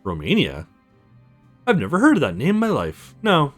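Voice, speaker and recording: A man plays an ancient vampire lord from a visual novel. He is courtly and wry, speaking in formal, measured phrases with very wide swings of pitch. Music plays behind him. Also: wildly swooping pitch. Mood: courteous, puzzled. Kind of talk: visual novel character voice read aloud.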